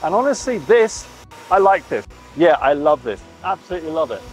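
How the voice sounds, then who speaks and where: A man's voice: fairly high pitch, sing-song, fairly quick, faint music behind, rough recording. A man in his forties giving an enthusiastic, emphatic walk-through tour to camera as a vlog host, repeating phrases and wearing a lapel mic. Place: inside a boat under construction and a large boatyard shed. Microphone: clip-on lapel mic.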